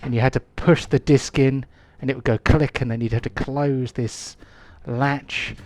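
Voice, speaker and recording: A man's voice: mid-range voice, steady tone, unhurried, darkish tone, dry recording. A man, hosting and running a live-play tabletop game in a chatty, rambling way full of ums and restarts. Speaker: game master.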